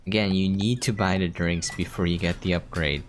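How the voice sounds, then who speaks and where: A man's voice: low-pitched, steady tone, fairly quick, a little hiss or hum. A man giving casual gameplay commentary as a streamer, rambling and thinking aloud as he plays. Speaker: game streamer. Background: game music.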